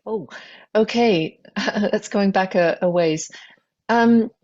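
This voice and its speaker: A woman's voice: medium pitch, slow pace, darkish tone, dull audio. An older woman with a British accent, a physician telling her story in an interview, thoughtful and full of small hesitations. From Britain. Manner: thoughtful, many ums and restarts. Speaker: physician guest. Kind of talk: conversational interview, reflective storytelling.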